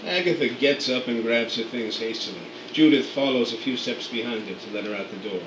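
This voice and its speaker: A man's voice: medium pitch, fairly quick, distant mic. Man reading stage directions. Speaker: narrator. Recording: echoey room, off-mic, faint noise.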